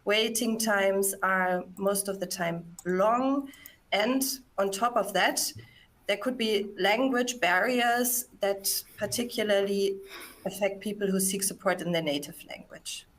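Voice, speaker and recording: A woman who is not an English speaker, hosting a live talk in measured, friendly English. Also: medium pitch, low-quality recording. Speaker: moderator.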